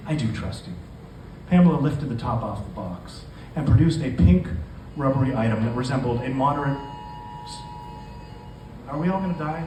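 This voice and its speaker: A man gives a live reading of his own story as its author. His voice comes from a distance in an echoey indoor room, with clear noise around him. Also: mid-range voice, animated, normal speed, dark tone. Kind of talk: live literary reading to an audience. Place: indoor bar event room. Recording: echoey, noisy bar venue, distant microphone.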